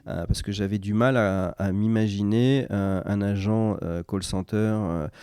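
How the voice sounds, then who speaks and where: A man's voice: lowish voice, steady tone, unhurried, warm tone, smooth, no echo, clean studio sound. A man from Morocco, a company founder, answering interview questions in a hesitant way, with many fillers and restarts.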